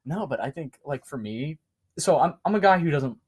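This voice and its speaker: A teenage boy guesting on a podcast, soft-spoken and relaxed, rambling easily and laughing often. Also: a little high, normal speed, slightly rough. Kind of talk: casual chat. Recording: amateur home podcast mic.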